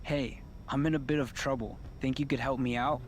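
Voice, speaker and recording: A man's voice: mid-range voice, steady tone, moderate pace, background noise, natural voice. A man playing a sheepish young male wrestler in a game cutscene, casual and deferential. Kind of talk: video game cutscene acting.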